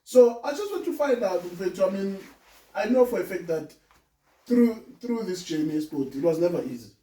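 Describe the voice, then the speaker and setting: A man hosts a laid-back podcast interview, backing up his guest and asking questions warmly. His voice sits high and is dark in tone. The sound is echoey and distant. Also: sing-song, normal speed. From South Africa. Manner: encouraging, with frequent back-channel "mm". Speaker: podcast host. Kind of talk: casual interview conversation.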